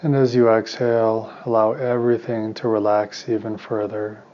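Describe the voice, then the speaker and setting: A man leading a guided meditation as a teacher, speaking in a calm, unhurried, soothing way with long pauses. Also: fairly low pitch, highs cut off.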